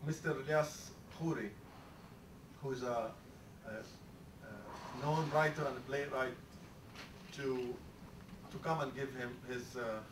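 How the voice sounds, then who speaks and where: A man's voice: mid-range voice, fairly even intonation. Impassioned man in an echoey hall. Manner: impassioned, halting with many restarts. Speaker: panel moderator. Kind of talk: speech to a panel audience. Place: lecture hall. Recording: roomy hall sound from far off, faint hiss.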